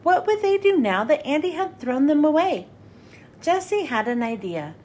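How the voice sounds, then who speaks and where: A woman narrating a children's storybook as a read-aloud host, with expressive, clearly phrased delivery. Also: high voice, normal speed.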